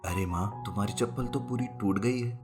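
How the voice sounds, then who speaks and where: A man's voice: lowish voice, brisk, lightly acted. A man playing a devoted, earnest young village son in a cartoon story, speaking plainly. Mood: concerned, caring. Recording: music bed behind the voice.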